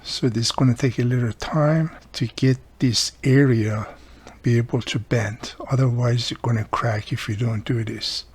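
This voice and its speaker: A man of medium pitch narrates a casual workshop tutorial in accented, non-native English. His voice is a little husky. He explains what his hands are doing step by step, in plain words. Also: steady tone, normal speed, darkish tone. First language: not English. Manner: plain-spoken, explains step by step while working. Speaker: radio repairer demonstrating a restoration.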